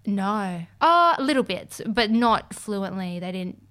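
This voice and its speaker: A young woman chats as a podcast guest, her voice smooth and clear at a middle pitch. She talks loosely, with plenty of fillers. She laughs easily as she goes. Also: animated, unhurried, fairly bright tone. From Australia. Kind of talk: casual chat.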